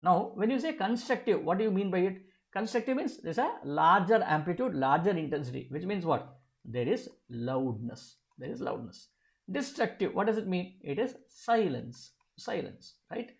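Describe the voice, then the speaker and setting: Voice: medium pitch, very animated, normal speed, creaky. Physics tutor with a middle-register voice; didactic, methodical exam walkthrough, poses questions then answers them, restates key terms. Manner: repeats key phrases. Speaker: physics teacher. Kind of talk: step-by-step exam problem walkthrough.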